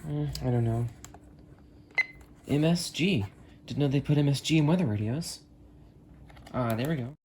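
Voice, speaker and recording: A man's voice: mid-range voice, moderate pace, pauses often, a little mellow. A man giving casual, rambling commentary to camera as an enthusiastic radio hobbyist, chatting with friends. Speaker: video creator reviewing weather radios. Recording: noisy amateur recording with storm ambience.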